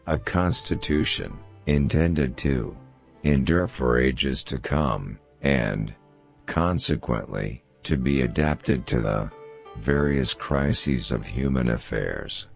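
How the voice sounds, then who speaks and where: Extremely deep-voiced man reading quotations. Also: slow, creaky. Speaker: narrator. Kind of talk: scripted narration of famous quotations. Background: background music.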